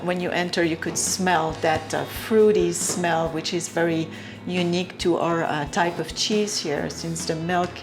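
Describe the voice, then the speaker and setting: A woman from Belgium with a Belgian French accent, explaining how her cheese is made in a halting way, with many fillers and restarts. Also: lowish voice. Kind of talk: casual explanation during a tour.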